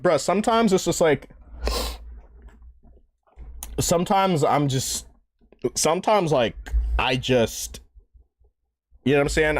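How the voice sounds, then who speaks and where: A man of twenty-eight, chatting casually and a bit tipsy on a gaming live stream, rambling in slang and swearing often. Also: on the high side. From New York. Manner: rambling, slangy, profane, says "bro" constantly.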